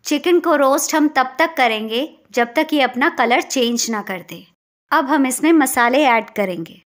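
Woman, high voice, cooking host, scripted recipe narration, step-by-step instructional delivery. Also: a bit bright.

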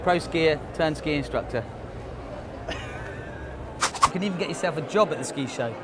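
A man's voice: on the high side, moderate pace, noisy background. A man in his thirties presenting a show with jokey roving interviews in a busy exhibition hall. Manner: jokey, light-hearted. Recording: loud music in the hall.

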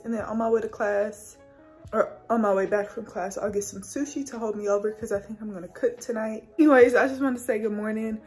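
A young woman with a Southern US accent, chatting casually to her phone camera in a small apartment bedroom for her vlog. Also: a little high. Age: young adult. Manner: chatty, relaxed, slangy. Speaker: vlogger, college student. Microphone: phone camera at arm's length.

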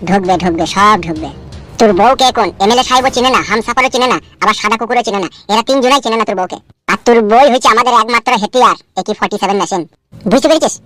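Scheming male cartoon crook, man acting, very quick coarse banter, nervy, music underneath. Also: extremely high pitch, sharp tone, dry recording. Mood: conspiratorial. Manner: coarse slangy back-and-forth. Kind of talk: comedy cartoon dialogue.

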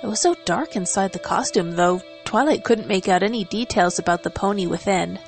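A woman reading a story as narrator, in a measured, expressive, dramatic style. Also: medium pitch. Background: music.

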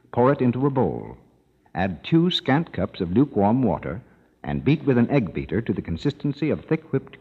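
1930s radio announcer, husky and lively, muffled broadcast from a reverberant hall. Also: male voice, fairly low pitch. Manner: smooth, persuasive, instructive. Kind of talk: 1930s radio commercial reading.

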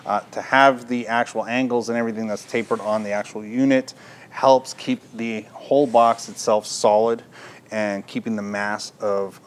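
A man's voice: fairly low pitch, a little hiss or hum. A middle-aged man presenting a product in an informal, unscripted, explanatory way. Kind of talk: unscripted product demonstration talk. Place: indoor audio gear shop, at a counter.

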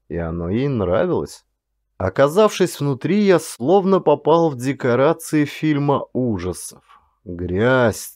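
A man's voice: mid-range voice, a little mellow, no room sound, clean studio sound. A man reading a first-person audiobook thriller as a dramatic scripted narration.

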